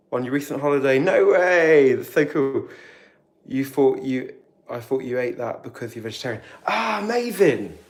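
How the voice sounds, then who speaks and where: A British man chatting casually on a live stream while cleaning, reading out and answering viewer comments. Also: on the high side. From Britain. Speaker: vlogger. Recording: roomy home recording with faint noise.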